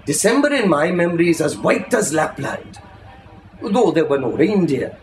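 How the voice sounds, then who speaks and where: A man giving a theatrical, expressive literary reading, savouring the phrases as a storyteller. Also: on the high side, moderate pace, soft background music. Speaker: reader, storyteller. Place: live reading in an indoor shop. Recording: echoey room with faint background noise.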